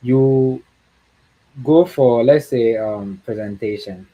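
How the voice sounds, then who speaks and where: A man's voice: medium pitch, slow pace, muffled recording. A man from Ghana with a Ghanaian accent, speaking as a guest on a livestream talk, earnest and encouraging, often repeating words for emphasis.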